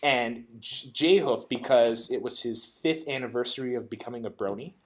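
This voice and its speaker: A man's voice: mid-range voice, normal speed. A man hosting a casual fan podcast, rambling with many restarts and fillers. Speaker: podcast host. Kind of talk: casual chat.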